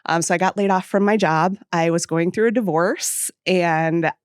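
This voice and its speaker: A woman's voice: lowish voice, normal speed, clean tone, no echo, clean studio sound. A woman in her forties from central New York state, telling her story as a guest in a casual podcast interview. She is chatty and laughs easily. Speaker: guest, home baking business owner.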